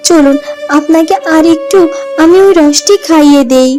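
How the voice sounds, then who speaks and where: A woman voicing a gentle, devoted young princess in a fairytale cartoon, caring and reassuring. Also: high-pitched, moderate pace, lightly acted. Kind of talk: cartoon character dialogue. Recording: loud music bed behind the voice.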